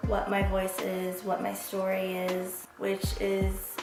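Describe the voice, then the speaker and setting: A young woman in her twenties talks candidly and reflectively to the camera, swearing casually as she goes. Her voice has a strong vocal fry. It sounds echoey, with music clearly playing behind her. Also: mid-range voice, completely flat delivery, slow. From Las Vegas, USA. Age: 20s. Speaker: vlog host. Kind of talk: casual vlog monologue. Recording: echoey room with background music.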